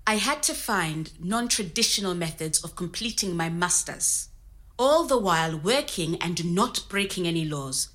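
A woman's voice: on the low side, lively intonation, moderate pace, fairly bright tone. An actress playing a candid, resilient woman, telling her story conversationally. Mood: weary, frustrated. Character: Zimbabwean woman immigrant in Nottingham, candid and resilient. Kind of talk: scripted oral-history audio drama told as interview.

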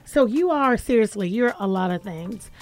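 A woman with a deep, raspy voice hosting a casual radio talk show from a studio, talking with lots of hype, repetition and fillers. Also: lively intonation, normal speed, a little mellow, faint music behind.

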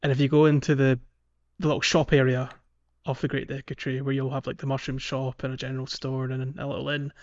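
A man co-hosting a casual gaming podcast, explaining in a halting way. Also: mid-range voice, monotone, darkish tone, highs cut off. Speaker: podcast co-host. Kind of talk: casual chat about a video game playthrough.

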